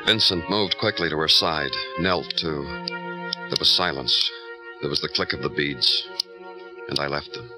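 Low-voiced hard-boiled detective. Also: male voice, unhurried, pauses often, music in the background. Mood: somber. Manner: terse, deadpan. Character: terse, hard-boiled male police detective narrating his case. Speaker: lead detective and narrator. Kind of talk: old-time radio noir narration and dialogue.

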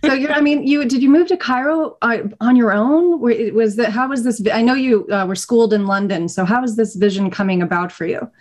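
A woman's voice: on the high side, a little fast, few pauses, darkish tone. A middle-aged woman hosting an interview, reacting with effusive enthusiasm and asking questions casually. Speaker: interviewer, host.

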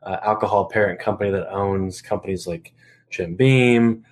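A man's voice: sits low, moderate pace. A young man co-hosting a podcast in casual conversation. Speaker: podcast co-host.

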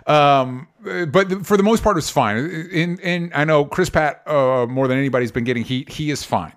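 A man's voice: a little high, normal speed. A man co-hosting a film review show, chatting casually and argumentatively, swearing freely and acting out imagined exchanges to press a point.

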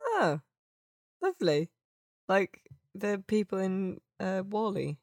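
A woman with a mid-to-low, unforced voice chats as a podcast co-host, recorded close and dry. Her delivery is casual and wry, full of dry-humoured banter about games. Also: big pitch swings, takes it slowly, a little mellow, no room sound.

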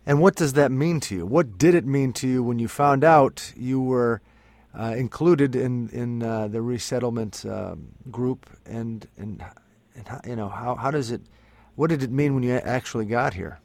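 A man interviews in a mid-pitched voice with a dry, close sound. His delivery is halting and tentative, and he picks his words carefully as he works toward each question. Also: a little mellow, no room sound. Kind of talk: conversational interview.

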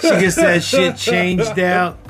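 A man's voice: sits high, sing-song, slow pace, a loud crowd. A man co-hosting a podcast, joking and rambling in a loose casual chat, often laughing as he talks. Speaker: podcast co-host.

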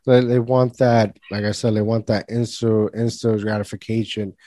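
A man's voice: fairly low pitch, little pitch movement, moderate pace, mellow, dry recording. Casual man from Puerto Rico, dry sound. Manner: informal, repeats words as he goes. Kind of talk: casual conversation.

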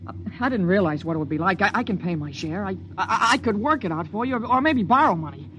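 High-voiced anxious teenage boy. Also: animated, quick pace, a bit bright. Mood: pleading. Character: anxious, pleading sixteen-year-old runaway boy. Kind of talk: old-time radio drama acting. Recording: noisy vintage radio recording.

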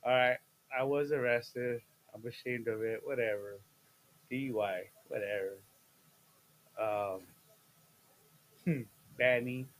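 A man in his fifties, a guest chatting casually on a podcast, playful and jokey, with sudden loud bursts and laughs mid-sentence. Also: mid-range voice, fairly even intonation, takes it slowly, pauses often. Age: 50s. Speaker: guest, host's father.

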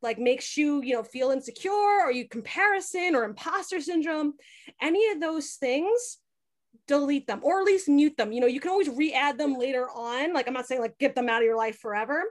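High-pitched, chatty young woman. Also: brisk. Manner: chatty, animated storytelling with frequent "like" and quoted dialogue. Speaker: podcast host being interviewed. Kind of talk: casual conversational interview.

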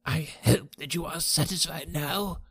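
A man voicing a tart, grudging, irritable country magistrate with a husky voice, in audiobook dialogue. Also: a little high, normal speed, darkish tone, dry recording, studio quality, voice pushed up.

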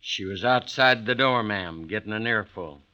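Low, tense, sly old servant. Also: male voice, moderate pace, bright, forceful tone. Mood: sinister. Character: sly old male servant, quietly sinister. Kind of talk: 1940s-50s radio drama acting. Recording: dull old radio broadcast recording.

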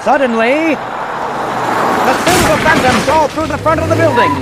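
A man's voice: extremely high pitch, measured pace, very noisy. A man playing a breathless, dramatic narrator of an old-time radio serial.

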